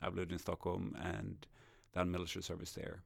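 Deep gravelly Swedish-born man. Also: completely flat delivery, fairly quick. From Sweden. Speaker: guest lecturer. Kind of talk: casual podcast conversation.

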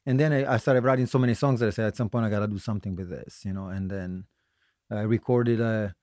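A middle-aged man from Italy with an Italian accent, telling his story casually as an interview guest, halting and self-correcting with a joking streak. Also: on the low side, steady tone, a little mellow, no echo, studio quality. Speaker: guest musician, singer-songwriter.

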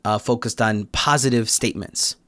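A man's voice: medium pitch, fairly even intonation, normal speed, bright, forceful tone, no room sound. A young American man talking reflectively as a podcast guest, explaining his thoughts in a casual conversational way. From United States. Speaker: podcast guest, Korean learner.

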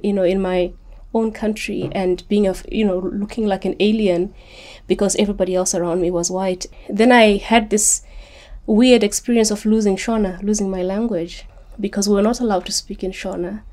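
Woman, late 30s, author guest, warm mellow tone, earnest and articulate. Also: mid-range voice, steady tone, moderate pace. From Zimbabwe. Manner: articulate, earnest, often repeats words when restarting. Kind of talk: reflective interview answers.

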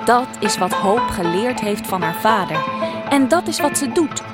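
A woman's voice: on the high side, animated, measured pace, loud music behind the voice. A woman announcer giving a scripted narrated introduction to a radio drama. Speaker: series announcer.

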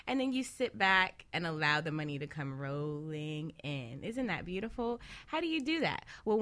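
A woman's voice: lowish voice, pushed and bright. A woman co-hosting a radio talk show, explaining things with rhetorical questions and examples.

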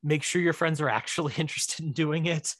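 Guy chatting on a podcast, kinda high voice, super dry close sound, says stuff twice for emphasis. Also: a little fast, a bit bright, no echo. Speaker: guest, writer-director. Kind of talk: casual podcast chat.

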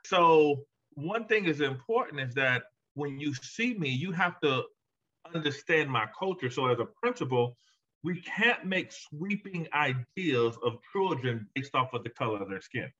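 Man, guest consultant, emphatic, pitch rising on key points, muffled call sound. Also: animated, measured pace, sharp tone. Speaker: education consultant, guest author. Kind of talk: conversational interview answers. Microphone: call audio.

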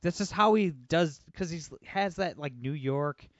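A man hosting a podcast, talking politics casually in a quick, emphatic way full of "like" and "you know". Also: on the high side, no echo. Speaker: podcast host. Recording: dull lo-fi, dry sound.

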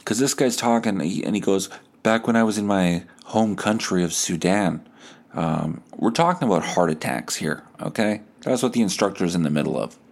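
A man hosting a podcast, telling stories in a casual, comedic, animated way with some swearing. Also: low voice, normal speed, gravelly. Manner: animated, acts out quoted dialogue; profane. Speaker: podcast host.

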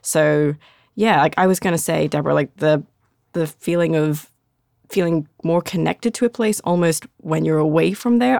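A podcast host talks in a loose, chatty way and fills the gaps with "like". The voice is mid-pitched with a gravelly fry. The sound is dry and close to the mic. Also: no room sound. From London, partly raised in Singapore. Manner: chatty, heavy use of "like". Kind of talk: casual podcast chat.

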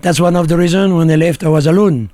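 A man's voice: a little high, fast talker, dark tone, no echo, clean studio sound. A middle-aged man with a French accent, a guest in a casual interview, talking bluntly in short, broken phrases. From France.

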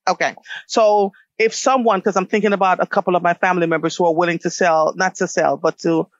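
A woman's voice: fairly low pitch, fairly even intonation, fast, no room sound, highs cut off. A woman from Jamaica hosting a radio show, interviewing a guest in a conversational way.

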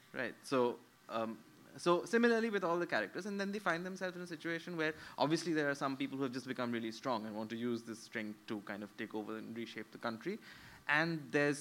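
A man's voice: medium pitch, a bit bright, slight background noise. A man, a novelist on a live panel, explaining fluently with many fillers. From India.

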